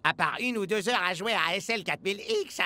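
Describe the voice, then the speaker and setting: A man playing a brash, excitable young footballer in a cartoon, slangy and pushy with his pals. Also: high voice, fairly quick. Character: brash young male footballer, excitable video-game-loving best pal. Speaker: voice actor in a children's animated series.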